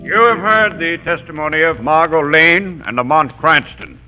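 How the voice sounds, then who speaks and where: An actor playing a pompous, forceful lawyer arguing in court in a 1930s radio drama. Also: male voice, a little high, moderate pace, over loud music, lightly acted, low-quality recording.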